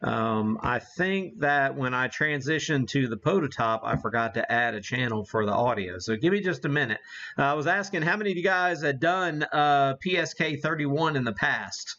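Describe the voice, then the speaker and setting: A man's voice: medium pitch, normal speed, pushed and bright. Man livestreaming in slightly dull audio. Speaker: host of a ham radio livestream. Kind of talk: casual live-stream chat and demonstration.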